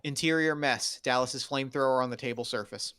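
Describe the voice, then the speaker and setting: A man's voice: mid-range voice, fairly quick, bright, forceful tone, smooth, no room sound. A man narrating screenplay action lines at a table read in short scripted statements.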